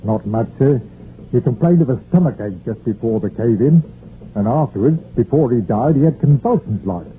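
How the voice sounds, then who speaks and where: Dark-toned, dutiful police sergeant played by a man. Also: medium pitch, lively intonation, moderate pace, natural voice. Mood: matter-of-fact. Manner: plain, clipped reporting. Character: dutiful, matter-of-fact police detective sergeant. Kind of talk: old radio drama acting. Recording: muffled old radio broadcast, hissy.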